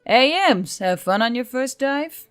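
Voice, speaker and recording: A woman's voice: high voice, lively intonation, measured pace, clear, resonant tone, pitched above the performer's own voice. A woman voicing a friendly, easygoing female centaur in a story reading, casual and upbeat, with music behind. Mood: cheerful, casual.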